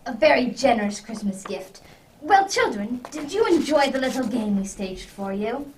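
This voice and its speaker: A woman playing a genial, masterful gentleman detective in a radio drama, with old-fashioned gentlemanly phrasing. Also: a little high, lively intonation, moderate pace, lightly acted. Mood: genial, kindly. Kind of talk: amateur radio drama acting. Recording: distant mic with faint noise.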